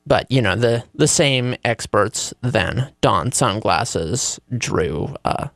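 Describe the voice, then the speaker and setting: A man's voice: mid-range voice, animated, slow pace, gravelly, fairly bright tone, no room sound. Wry man with a dry, close sound. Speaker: co-host presenting news. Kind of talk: casual radio news chat.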